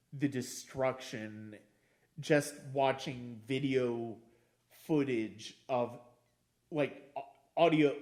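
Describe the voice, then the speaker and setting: A man casually discussing films as a podcast host, haltingly repeating and restarting his phrases for emphasis. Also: mid-range voice.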